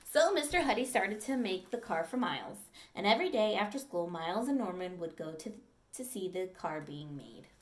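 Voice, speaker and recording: A woman teacher reading a picture book aloud to children, with expressive storytelling and friendly asides to her listeners. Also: medium pitch, moderate pace.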